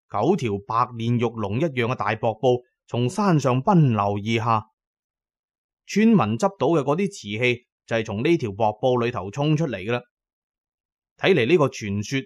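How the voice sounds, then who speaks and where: A man's voice: medium pitch, darkish tone, no room sound, studio quality. A man narrating a scripted first-person story in a dramatic storytelling manner. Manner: dramatic storytelling, voicing characters' lines.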